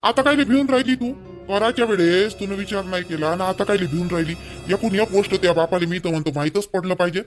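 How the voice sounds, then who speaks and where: A man's voice: sits high, fast talker, soft background music, close to an everyday voice. A man voicing a blunt, fault-finding young village husband in an amateur cartoon, nagging in a Vidarbha Marathi accent. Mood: scolding, accusing. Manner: blunt, nagging, repetitive complaining. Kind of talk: amateur cartoon dialogue in rural dialect.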